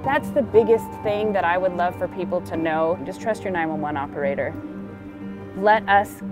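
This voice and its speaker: Casting: American woman, middle-aged, earnest 911 supervisor in a documentary interview, low-set voice. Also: moderate pace. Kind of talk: candid documentary interview about work. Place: dispatch center office, indoors. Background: music.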